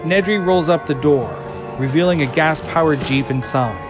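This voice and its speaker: A man's voice: fairly high pitch, normal speed. A man reading scripted narration for an audio drama. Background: music.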